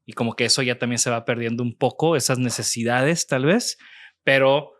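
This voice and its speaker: Man, Mexican accent, thirties, podcast host, hesitant, mid pitch. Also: moderate pace, fairly bright tone. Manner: hesitant, restarts, casual slang. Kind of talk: casual interview conversation.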